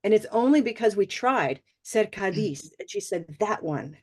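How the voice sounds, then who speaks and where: A woman's voice: mid-range voice, animated, normal speed, a little mellow, breathy edge. A woman teaching English pronunciation in an online class, encouraging and laughing often.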